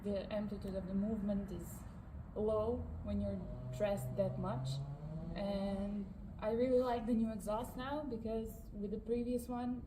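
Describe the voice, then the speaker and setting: A woman's voice: medium pitch, fairly even intonation, measured pace, recorded from a distance. A woman from northern Serbia with a Serbian accent, chatting casually as a passenger co-presenter. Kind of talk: casual chat. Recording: roomy echo, clearly noisy background.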